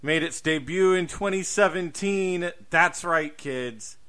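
A man's voice: on the high side, slow, sharp tone, clear, resonant tone. A man co-hosting a podcast, chatting casually about horror films with showy emphasis. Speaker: podcast co-host. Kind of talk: casual horror film chat.

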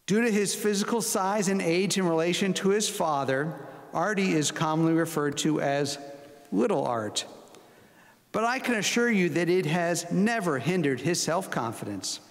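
A man, a college president, reading a measured, formal introduction from a lectern in a large hall. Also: high voice, expressive. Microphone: lectern mic. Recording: long hall reverb.

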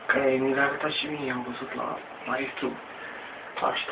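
A man's voice: medium pitch, flat delivery. Rambling man on tinny, noisy distant webcam. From Romania. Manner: rambling, halting, mild swearing. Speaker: amateur exercise demonstrator. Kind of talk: casual home vlog, improvised exercise demonstration. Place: small room at home. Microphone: webcam mic at a distance.

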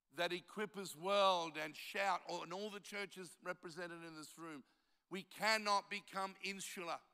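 An older man in his seventies preaches to a conference, his voice high, bright and ringing clear. He grew up speaking Italian at home and tells stories with a dry, self-mocking humor, then presses his point hard. A long hall reverb trails each phrase. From Australia. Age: 70s. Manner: storytelling with self-deprecating jokes, rising to emphatic exhortation. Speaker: pastor. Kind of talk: conference sermon.

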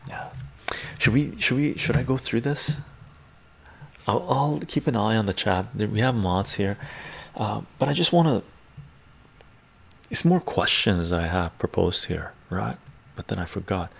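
A middle-aged man with a Canadian accent hosting a livestream, chatting casually in ASMR style in an unhurried, rambling way with frequent "right?" tags. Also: lowish voice, expressive, creaky, breathy edge. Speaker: livestream host. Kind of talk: casual ASMR chat, answering viewers. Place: small book-lined room at home.

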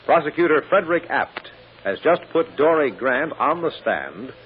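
A man's voice: fairly high pitch, very animated, normal speed. A man narrating a vintage radio serial as its announcer, in a scripted storytelling style. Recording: dull old radio recording.

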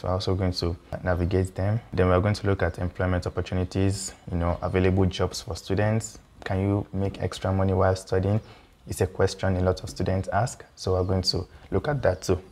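A young Nigerian man with a Nigerian accent and a very low, deep voice talks students through a list of topics. His delivery is conversational and easygoing, sprinkled with fillers and friendly reassurances. He sounds like he is recording at a desk indoors. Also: a little mellow. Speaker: YouTube educator for international students. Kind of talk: explainer video walking through slide topics. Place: indoor room with a pool table and windows.

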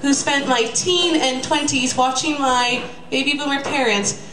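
A woman's voice: sits high, fairly even intonation, a little slow, a bit bright, slight background noise. A young woman telling a comic monologue to a live audience, in a sardonic, emphatic, casual manner. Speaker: comedic storyteller at a live reading. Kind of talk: stand-up style comic monologue. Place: small live venue, bistro. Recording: echoey venue with audience, distant mic. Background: audience crowd.

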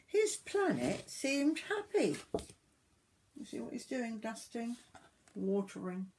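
A woman in her sixties reading a picture book aloud to young children like a grandmother, expressively, with drawn-out words and little asides to the listener. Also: on the high side, big pitch swings, background noise. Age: 60s. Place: small wooden summerhouse.

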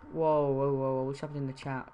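A man's voice: mid-range voice, flat delivery, fast talker, dark tone, slight background noise. Chatty young male game streamer. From Wales. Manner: casual, chatty, reads chat aloud. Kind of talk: live game-trading stream chat.

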